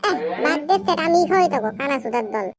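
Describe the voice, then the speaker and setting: A performer voicing a cheeky, foul-mouthed cartoon schoolboy who scolds his friends in a squeaky, brash, slangy way. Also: expressive, racing, crowd noise. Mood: cheeky bragging. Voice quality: squeaky, artificially high. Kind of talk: comedy cartoon dialogue.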